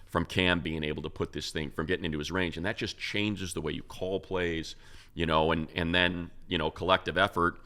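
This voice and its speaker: A man's voice: deep voice, steady tone, a little fast, bright, forceful tone. An American man co-hosting a sports show, giving unscripted football analysis in an emphatic, rambling, conversational way.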